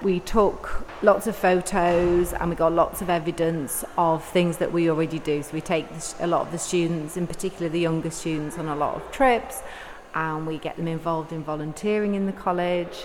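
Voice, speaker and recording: A woman speaks in a slightly low voice, earnest and a little rambling as she answers an interviewer. Her voice rings with long reverb in a large hall. A faint hum of noise sits behind her. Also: steady tone, fairly quick, few pauses. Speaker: deputy head of adult and community at a college.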